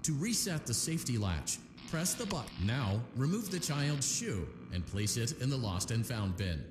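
A man's voice: medium pitch, moderate pace, lightly acted. A man voicing a cheerful corporate training guide. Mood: calm. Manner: calm, upbeat corporate training tone. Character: friendly male corporate repair-training voice. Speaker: in-game instructor. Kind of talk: scripted game voice-over instructions. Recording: echoey reverb with game music behind.

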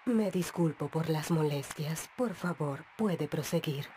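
A woman voicing a composed, stern animatronic leader, measured and formal, in a Latin American animated fan dub. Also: low-pitched, noisy background. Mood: composed, firm. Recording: music behind the voice.

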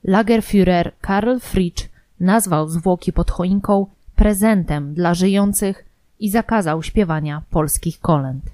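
Mellow, lowish-voiced female narrator. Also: slow pace, no echo. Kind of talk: scripted documentary narration.

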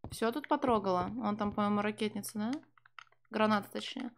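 A woman's voice: medium pitch, steady tone, fairly quick, a little hiss or hum. A young woman streaming a game from a small room at home, chatting to viewers, swearing freely and translating the game's lines on the fly. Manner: profane, sardonic, chatting to viewers. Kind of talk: casual live game commentary with translation.

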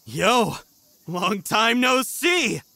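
A man's voice: high voice, big pitch swings, very slow, gravelly, sharp tone, no room sound, pitched above the performer's own voice, full character voice. A man voicing a cocky young sorcerer in an anime fan dub, rambling in theatrical, exhilarated glee. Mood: giddy, manic. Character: manic young male sorcerer, cocky, exhilarated, newly powerful.

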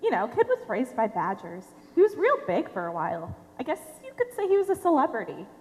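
A woman's voice: fairly high pitch, wildly swooping pitch, brisk, darkish tone. A young woman on stage playing a casual, gossipy, slightly wistful passerby. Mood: chatty. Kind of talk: high school stage play acting. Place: theater stage, filmed from the audience. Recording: echoey theater stage, faint hum.

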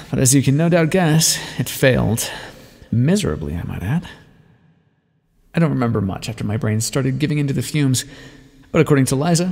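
A man acts out a sardonic male vampire for an audio roleplay. He is bitter, wordy and self-mocking, with a theatrical swing in pitch. A gravelly fry roughens his words, and a long reverb trails behind them. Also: a little mellow. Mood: darkly wry. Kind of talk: ASMR roleplay monologue, dramatic storytelling.

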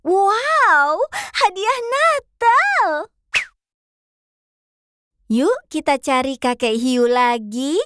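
A woman's voice: high voice, animated, very slow, long pauses. A woman voicing a cheerful pink fox who hosts a children's cartoon and coaxes kids through a hide-and-seek game. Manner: bright, coaxing, talks to kids.

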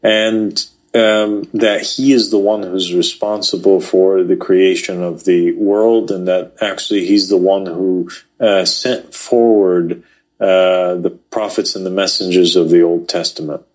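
A man talking through religious texts in a relaxed podcast conversation, thoughtful and hesitant, with frequent fillers. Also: deep voice, a little slow. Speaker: podcast co-host. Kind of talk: casual religious discussion.

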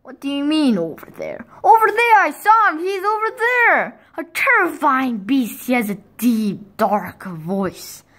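A woman voicing a bunch of small, excitable chicks in a storybook read-aloud, squealing and excited. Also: high-pitched, a little slow, hardly any pauses, pitched above the performer's own voice, heavily acted.

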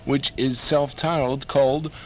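A man's voice: medium pitch, flat delivery, a little slow. A man working as a radio DJ, announcing songs on air.

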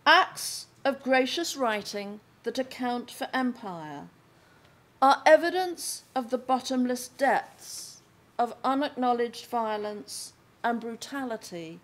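An older woman reads her own prose aloud at a lectern microphone, taking it slowly and deliberately, phrase by phrase, with pauses between. Her voice sits a little high for a woman and stays unhurried throughout. The sound is of a lecture room indoors. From England. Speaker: author reading from her book. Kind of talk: slow measured reading aloud at a lectern.

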